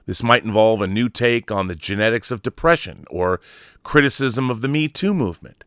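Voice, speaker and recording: A man's voice: fairly low pitch, moderate pace, a bit bright, clean tone, no room sound, studio quality. A man hosting an online show, reading a long article aloud and adding brief asides. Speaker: YouTube host.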